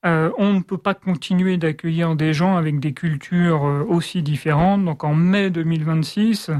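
A woman hosting an interview, putting prepared questions with frequent hesitations and a halting delivery. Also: low voice, darkish tone. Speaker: interview host.

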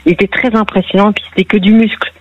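A woman's voice: mid-range voice, steady tone, fast talker. A middle-aged woman from northern France telling her story in a chatty, rambling way as a caller to a radio show. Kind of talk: casual storytelling on call-in radio. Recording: muffled phone line on radio.

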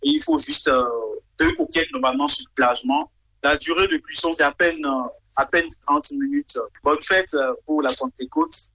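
A man reporting a news item, hesitant and pausing often. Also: a little high, sharp tone, slight background noise, low-quality recording. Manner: hesitant, with pauses and fillers.

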